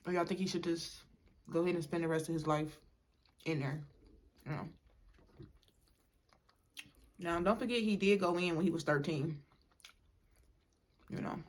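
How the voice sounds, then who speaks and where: A young woman talks casually to her viewers. She rambles a little, fills gaps with "like" and "you know", and keeps calling the audience y'all. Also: on the low side. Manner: chatty, addresses viewers as y'all, many fillers. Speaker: mukbang vlogger. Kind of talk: casual storytime chat.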